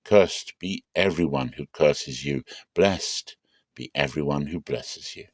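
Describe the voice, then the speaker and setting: A man playing an aged patriarch in a scripture reading, slow and weighty, a searching and solemn father. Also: rumbling low, no room sound, studio quality, exaggerated voice. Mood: solemn blessing.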